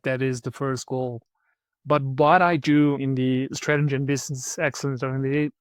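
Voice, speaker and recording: A man's voice: medium pitch, darkish tone, no room sound. A man from Brazil, a guest on a podcast, thoughtfully explaining his work, often repeating words as he searches for the next one. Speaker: podcast guest, program manager. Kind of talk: conversational interview answers.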